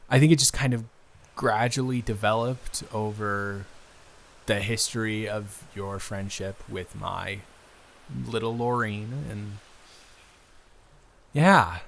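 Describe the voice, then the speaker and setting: Slow-talking, flustered, smitten young man, acted by a man. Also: mid-range voice, fairly bright tone. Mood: shy, sincere. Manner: halting, flustered, with sighs, gasps and laughs. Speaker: audio roleplay performer. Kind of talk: improvised intimate romantic roleplay, conversational.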